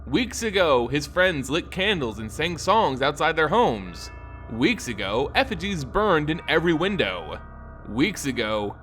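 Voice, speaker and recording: A man giving a dramatic, scripted narration of a dark fantasy story in a solemn, theatrical way with deliberate phrasing. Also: fairly high pitch.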